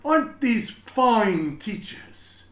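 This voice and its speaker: Man putting on a high, gushing school principal. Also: sing-song, very slow, a bit bright, full character voice. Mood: delighted, gushing. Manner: exclaiming, effusive. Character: gushing, delighted male school principal from a storybook. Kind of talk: animated storybook read-aloud. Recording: roomy, echoing room sound.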